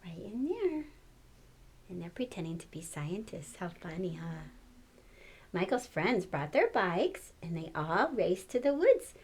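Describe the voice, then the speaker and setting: A woman's voice: fairly low pitch, sing-song, a little slow, a little mellow, breathy edge. An older woman reading a storybook aloud to children, expressively, with exclamations and questions to the listener.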